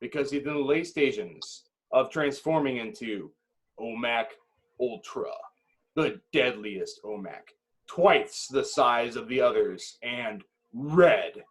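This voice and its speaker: A man's voice: medium pitch, expressive, takes it slowly, low-quality recording. A man reading screenplay stage directions as the dramatic narrator of a table read.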